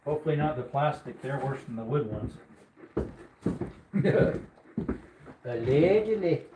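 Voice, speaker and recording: A man's voice: mid-range voice, animated, a little slow, noisy background. A man hosting a casual livestream, rambling and joking while greeting viewers in the chat. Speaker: livestream host.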